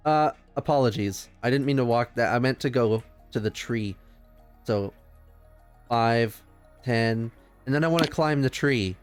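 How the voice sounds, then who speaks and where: A man chatting casually and excitably as a player in a tabletop game. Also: mid-range voice, unhurried, smooth, soft background music.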